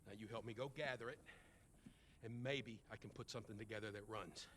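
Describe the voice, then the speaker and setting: An actor playing a gruff, bitter middle-aged survivor in a video game drama, curt and grudging. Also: male voice, on the low side, fast, fairly bright tone, natural voice. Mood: grudging, irritated. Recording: noisy game scene playback under commentary.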